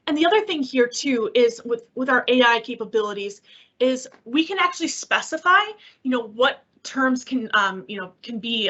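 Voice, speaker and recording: A woman giving a software demo in a conversational way, explaining things with examples and rhetorical questions over an online meeting. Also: fairly high pitch. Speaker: technical specialist presenting a software demo. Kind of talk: webinar demo and question answering. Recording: dull, lo-fi online call audio.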